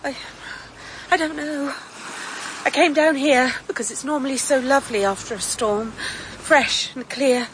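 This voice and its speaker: Brief: a shaken, soft-spoken young woman, high voice, ambience behind. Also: steady tone, measured pace, pauses often, a little hiss or hum, rough recording. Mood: anxious. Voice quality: soft and fragile. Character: fragile, anxious young woman. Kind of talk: radio drama acting.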